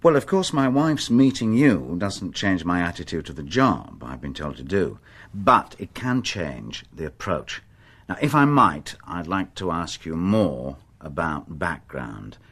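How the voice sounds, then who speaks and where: An actor playing a middle-aged police inspector in a radio drama, wry and courteous, with measured, probing questions. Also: male voice, sits low, big pitch swings, fairly bright tone, husky, exaggerated voice.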